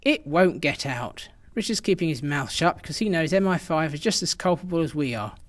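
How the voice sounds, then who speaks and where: Guy playing a hard-nosed spy boss in a radio drama, slightly high male voice, curt, cocky, brushes everything off. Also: expressive, brisk, natural voice. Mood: dismissive, assured. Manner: brusque, assured, stonewalling. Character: defiant, ruthless male intelligence chief, coolly dismissive.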